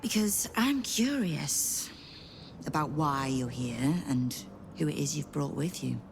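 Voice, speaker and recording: A guildmaster of thieves in a game, low and imperious. She commands with sardonic wit and cool menace, over faint background noise. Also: expressive, exaggerated voice. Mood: sly, menacing. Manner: sardonic, commanding, deliberate phrasing. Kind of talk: video game dramatic acting.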